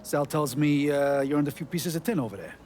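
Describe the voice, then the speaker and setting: A man's voice: a little high, brisk, darkish tone, natural voice. An actor playing a brusque, appraising mafia man in a video game, clipped, businesslike and questioning. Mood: probing. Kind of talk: cinematic video game dialogue. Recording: faint ambient noise.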